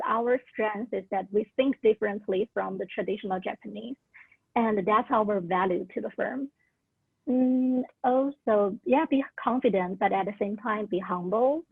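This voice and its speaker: Young woman, English speaker, earnest career-advice talk, husky, tinny phone-like call audio. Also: mid-range voice, no room sound. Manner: earnest, with many "like" and "uh" fillers. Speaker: alumna sharing career tips. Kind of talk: casual talk at a virtual orientation. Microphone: video call.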